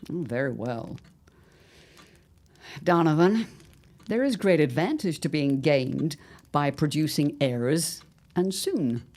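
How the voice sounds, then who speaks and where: A woman's voice: sits low, lively intonation, slow pace, long pauses, a little mellow, full character voice. A woman playing a shrewd, scheming mother of a grown son in an audio drama, with a grand, theatrical, drawling delivery. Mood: imperious.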